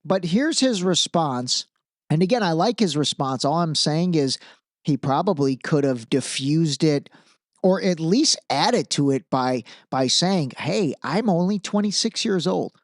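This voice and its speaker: A man's voice: fairly high pitch, lively intonation, measured pace, no echo, studio quality. A man hosting a podcast, giving casual, opinionated commentary in an informal, emphatic way, repeating phrases for emphasis. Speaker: podcast host.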